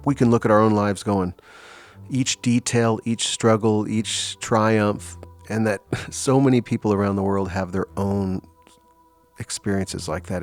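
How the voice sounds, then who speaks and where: A man hosting an arts interview on the radio, asking curious questions. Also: lowish voice.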